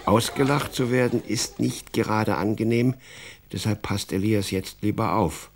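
A man narrating a children's radio play in an expressive, scripted storytelling style with a husky voice. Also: on the low side, a little slow, slight background noise.

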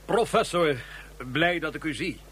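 An actor playing a calculating male ruler who gives orders smoothly in a radio play. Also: a little high, lively intonation, measured pace, a bit bright. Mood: probing. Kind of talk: 1970s radio drama acting.

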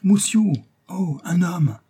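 An eager little boy from a storybook, played by a male narrator, speaks in a raised, husky voice. He is naive and excitable, a young pupil addressing his master. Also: high-pitched, slow pace, voice pushed up, exaggerated voice. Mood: startled.

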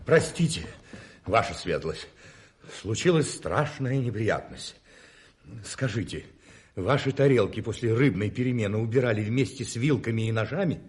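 An actor playing an anxious, deferential proprietor of an exclusive club in a radio play, urgent and agitated. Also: male voice, on the low side, lively intonation, moderate pace, lightly acted. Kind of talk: 1980s Soviet radio play acting.

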